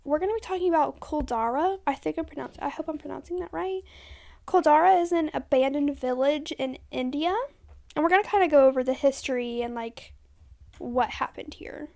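A woman's voice: high voice, a little fast, gravelly, dark tone. A woman co-hosting a podcast, reading history notes in a casual chat and stumbling over names as she corrects herself. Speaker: podcast co-host.

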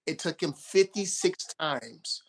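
A man's voice: a little high, lively intonation, takes it slowly. A man co-hosting a casual chat, giving encouraging advice in a mentoring way.

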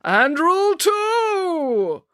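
A stern, theatrical storybook sorceress, resonant and imperious. Each phrase is drawn out slowly and intoned with big sweeps of pitch, recorded dry and close. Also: female voice, big pitch swings, fairly bright tone, no room sound, full character voice. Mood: intoning, imperious. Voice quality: resonant, booming. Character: grand exotic fortune-teller sorceress, stern and theatrical.

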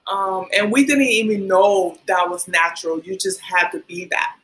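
A woman who grew up in Liberia talks as a guest on a podcast. Her speech is casual and halting, full of ums and likes, at a mid pitch for a woman. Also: fairly even intonation, a bit bright, low-quality recording. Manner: hesitant, many ums and likes. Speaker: guest, hair stylist. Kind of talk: casual interview.

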